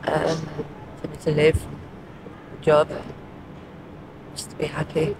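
A woman in her thirties with a South London accent answers hesitantly in a street interview. Her replies are short and plain. Traffic and street noise sit clearly behind her. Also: low-pitched, monotone, slow pace. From Croydon, South London, England. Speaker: homeless interviewee. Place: outdoors in a city doorway at night. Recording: noisy street with traffic ambience.

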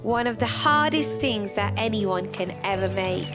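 A young woman narrates a children's storybook at a slow, measured pace. Her delivery is expressive and deliberate, with careful pauses, over clearly audible background music. Her pitch sits in a middle range for a woman. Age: young adult. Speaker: primary school teacher reading a storybook. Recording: music playing behind the voice.

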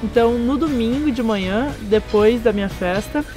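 A young woman in her twenties from southern Brazil with a southern Brazilian accent, casually narrating a road trip, a little hoarse. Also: fairly high pitch, moderate pace, faint music behind. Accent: southern Brazilian Portuguese. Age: 20s. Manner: friendly, relaxed storytelling with light laughs. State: slightly hoarse after a party. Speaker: travel vlogger. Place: outdoors, garden and hilltop trails.

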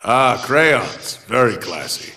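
A deadpan, pedantic voice in the head, sarcastic and mid-pitched. It rings with long reverb over clear background noise in a video game scene. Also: male voice, slow, full character voice. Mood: dry, sarcastic. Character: dry, pedantic male inner voice inside antihero's head. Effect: reverberant voice-in-the-head effect.

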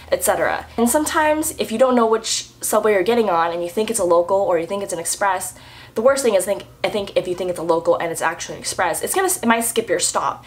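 Chatty young woman, flu-roughened voice. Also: medium pitch, a little hiss or hum. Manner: chatty, upbeat, lively hand gestures. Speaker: vlogger. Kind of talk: casual explainer vlog. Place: indoor room with french doors.

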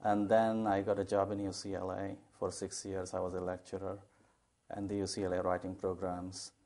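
A low-voiced man from Bangladesh, a professor and poet, speaking in a measured, thoughtful way. He fills his pauses with frequent ums and uhs. It sounds like a lapel mic in a television studio. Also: completely flat delivery, warm tone. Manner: measured, thoughtful, with many fillers. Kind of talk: studio interview.

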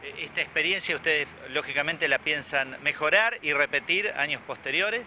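A man, a local TV reporter asking hesitant, measured interview questions outdoors. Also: fairly high pitch, bright, forceful tone, slight background noise. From Argentina.

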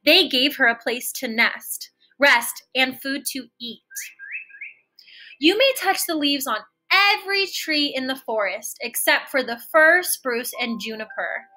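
A woman's voice: high-pitched, animated, unhurried, bright, forceful tone, heavily acted, rough recording. A woman voicing a regal frost queen and mother in a storybook, firm but fair.

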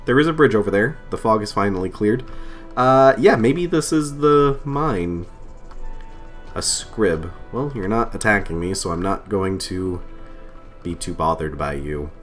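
A man with a slightly lowered voice, still getting over a cold, talks through his game into a desk mic. He rambles casually, thinking aloud and poking fun at himself. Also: measured pace, faint music behind. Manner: chatty, self-deprecating, thinks aloud with fillers. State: recovering from a cold. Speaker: let's play host. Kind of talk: casual gameplay commentary. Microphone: desk microphone.

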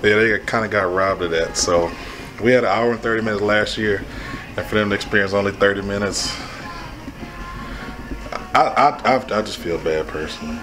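A man in his thirties with a hoarse voice, vlogging casually to camera on a cruise, apologetic and rueful. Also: lowish voice, normal speed, pauses often. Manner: apologetic, rueful, then joking. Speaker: travel vlogger. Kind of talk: casual vlog chat. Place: cruise ship restaurant and corridors. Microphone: handheld camera at arm's length. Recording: noisy crowd and loud music behind.

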